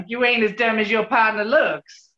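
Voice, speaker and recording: An actress playing a brassy New York character with an American accent, brash and animated, in 1940s radio comedy style. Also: female voice, on the high side, measured pace. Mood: brassy, wistful. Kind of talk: 1940s radio play acting. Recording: roomy, muffled online recording.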